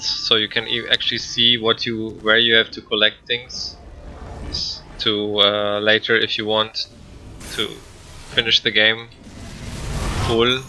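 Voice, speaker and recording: A man's voice: on the low side, sharp tone, slight background noise, low-quality recording. A man giving casual, rambling gameplay commentary in hesitant, non-native English. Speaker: speedrunner commentator.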